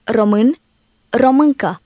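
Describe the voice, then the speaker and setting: Slow, clear woman breaking words into syllables. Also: on the high side, dark tone, no room sound, studio quality. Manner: syllable-by-syllable repetition. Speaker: native speaker model. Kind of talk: slow model pronunciation.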